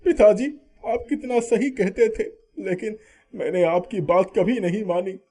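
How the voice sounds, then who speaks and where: A man playing a troubled, remorseful young son in an animated moral story, speaking in a plaintive, earnest way. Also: high-pitched, a little slow, breathy edge, full character voice. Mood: tearful regret.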